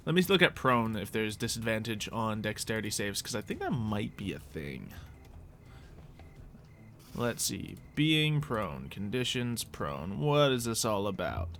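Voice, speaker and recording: A man's voice: on the low side, big pitch swings, unhurried, vocal fry, fairly bright tone, a little hiss or hum. A jovial man who laughs readily, casually narrating a tabletop roleplay as game master.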